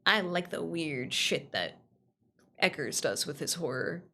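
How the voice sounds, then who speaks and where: A woman's voice: low voice, expressive, a little slow, creaky, bright, forceful tone, slight background noise. A woman chatting casually on a livestream, answering viewers in a gushing way and repeating phrases for emphasis. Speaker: livestream host.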